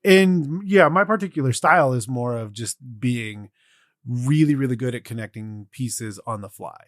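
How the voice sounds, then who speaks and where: A man co-hosting a podcast, chatting casually in a halting, self-deprecating way and circling back over his phrases. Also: mid-range voice, no echo, studio quality. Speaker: podcast co-host. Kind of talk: casual chat.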